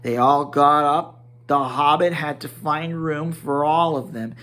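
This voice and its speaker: A man's voice: fairly high pitch, measured pace, bright, forceful tone. A man reading a story aloud as a dramatic amateur narrator.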